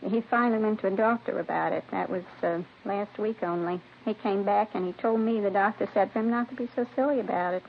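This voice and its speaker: An actress playing a matronly, middle-aged widowed neighbor in a 1950s radio drama, rambling in a folksy, chatty way. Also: female voice, medium pitch, brisk, vocal fry, few pauses, lightly acted. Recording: dull old radio recording with hiss.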